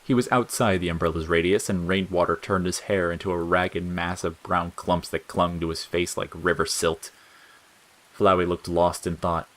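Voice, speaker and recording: Male narrator, low voice, scripted audio fiction, dramatic storytelling. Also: steady tone, normal speed, fairly bright tone.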